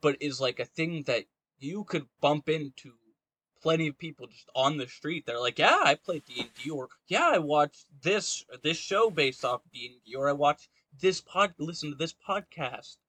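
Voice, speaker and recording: A man in a casual, opinionated podcast discussion, speaking in a rambling, halting way and often saying "like". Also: fairly high pitch, animated, a bit bright, no echo. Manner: rambling, halting delivery with frequent "like". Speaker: podcast co-host.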